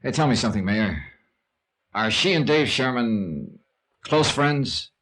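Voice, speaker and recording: A man's voice: mid-range voice, expressive, unhurried, a bit bright. An actor playing a shrewd, sardonic male investigator in a 1950s radio detective drama, with clipped, probing delivery. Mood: probing, skeptical. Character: shrewd, wry male insurance investigator, hard-boiled sleuth. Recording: vintage radio drama recording.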